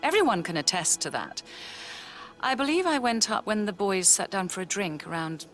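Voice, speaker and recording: Game role: well-to-do manor woman, mid-pitched, composed, faintly scornful, guarded, soft music behind. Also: sing-song, normal speed. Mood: guarded, disdainful. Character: haughty, guarded upper-class woman of a manor family. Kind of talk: video game cutscene acting.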